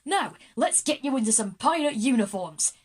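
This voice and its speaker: A woman's voice: fairly high pitch, lively intonation, normal speed, slightly rough. Woman playing a pompous, boastful pirate general. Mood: commanding. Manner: pompous, theatrical, piratical turns of phrase. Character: egotistical boastful male pirate general, bossy showman. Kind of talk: kids' audio drama acting.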